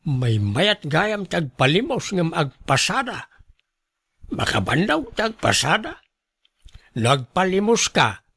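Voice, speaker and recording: A man playing a wheedling, stubborn elderly beggar in a radio drama, pleading with drawn-out cries. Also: a little high, very animated, creaky, fairly bright tone, husky, no echo, studio quality, full character voice. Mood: scheming, stubborn. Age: older. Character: wheedling, stubborn elderly husband begging on the street. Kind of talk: Ilocano radio drama acting.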